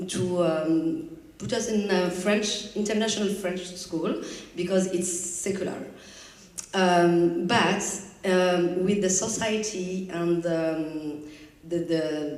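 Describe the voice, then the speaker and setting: Woman, halting speech. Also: fairly low pitch, a little mellow. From Morocco. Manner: halting and searching for words. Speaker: panelist, activist. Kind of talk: halting explanation. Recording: echoey room, distant mic.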